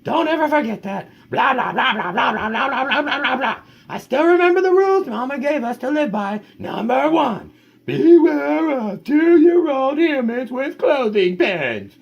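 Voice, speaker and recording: A man doing a chatty, high-voiced talking dog. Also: sing-song, unhurried, vocal fry, slightly rough, highs cut off, full character voice. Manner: high sing-song voice, nonstop chatter. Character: chatty talking female dog, endlessly rambling. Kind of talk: reading a picture book aloud.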